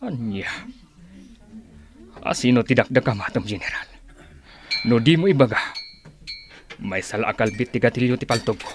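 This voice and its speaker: Radio drama gunman, male actor: loud, agitated, threatening, mid-pitched, faint noise behind. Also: animated, moderate pace, long pauses, natural voice. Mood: enraged threatening. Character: enraged gunman threatening to pull the trigger. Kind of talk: Ilocano radio drama acting.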